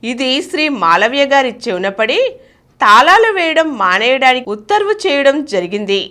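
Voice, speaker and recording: A woman's voice: high voice, big pitch swings, normal speed, sharp tone. A woman reading a scripted narration in a storytelling style.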